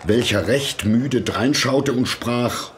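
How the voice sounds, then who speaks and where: A man narrating a scripted fairy tale in a deliberate, expressive storytelling manner. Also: medium pitch. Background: music.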